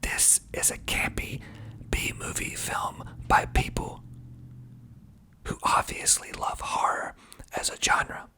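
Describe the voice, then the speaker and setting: A man whispering breathily in ASMR style into a close mic, reading aloud slowly and deliberately with dry asides. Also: sharp tone. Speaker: filmmaker and ASMR video host. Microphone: close mic with pop filter.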